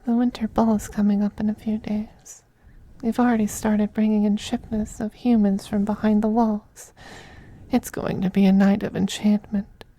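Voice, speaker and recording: A performer voicing a possessive, androgynous male vampire master in an ASMR roleplay, soft, breathy and often whispering, coaxing and condescending. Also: medium pitch, level tone, natural voice, low-quality recording. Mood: silky, anticipating. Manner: slow, purring, coaxing, condescending. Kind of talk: ASMR roleplay, intimate scripted monologue.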